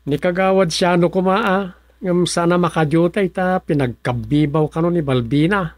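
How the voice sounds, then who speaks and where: A man playing a rural village duty man in an Ilocano radio comedy. His voice sits a little high, with an Ilocano accent and a colloquial, grumbling delivery. The sound is dry, without room echo. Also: moderate pace, lightly acted. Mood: bantering, insistent. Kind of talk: comedic radio drama acting.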